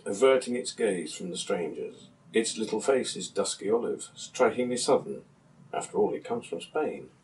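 A man in his seventies reading a literary passage aloud in a measured, articulate storytelling manner. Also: fairly low pitch, darkish tone. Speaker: reader of a book passage. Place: small book-lined room at home, indoors. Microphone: camera a few metres away. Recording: distant camera microphone in a room.

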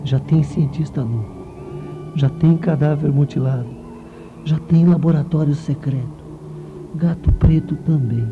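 A man playing a nosy, jittery reporter muttering to himself in a comic radio play, with a creaky voice. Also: a little high, unhurried, long pauses, background noise, lightly acted. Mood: puzzled, spooked. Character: nosy, jittery newspaper reporter snooping alone. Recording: dull lo-fi radio recording.

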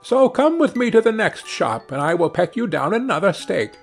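A man's voice: sits high, big pitch swings, normal speed, creaky, faint music behind, pitched above the performer's own voice, heavily acted. A man voicing a small female sparrow in a storybook, helpful to friends and fiercely vengeful, with emphatic delivery. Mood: kindly, helpful.

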